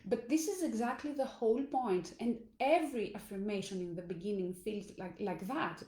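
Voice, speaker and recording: A Greek woman coaching in her second language talks earnestly and slowly to her audience. Her voice is mellow and relaxed, sitting at an ordinary pitch, with the odd small restart. The room around her is echoey. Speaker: life coach. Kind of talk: motivational talk to camera. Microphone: phone or camera in a live stream.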